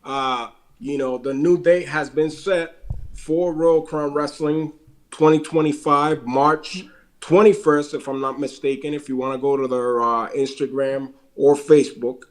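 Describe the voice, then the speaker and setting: A man's voice: a little high, fairly even intonation, a little slow. Puerto Rican man plugging wrestling events. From Puerto Rico. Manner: plugs events with dates and web addresses, hesitant fillers. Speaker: wrestling podcast co-host. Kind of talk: casual promotional chat.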